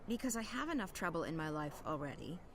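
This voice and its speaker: A woman's voice: lowish voice, very animated, fast talker, fairly bright tone, slight background noise. An actress playing a curt, guarded, war-weary young rebel woman in a fantasy game, terse and no-nonsense. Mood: curt, impatient.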